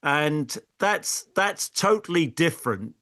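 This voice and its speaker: Halting, emphatic male football pundit. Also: fairly high pitch, expressive, bright, forceful tone. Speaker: former player pundit. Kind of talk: football debate.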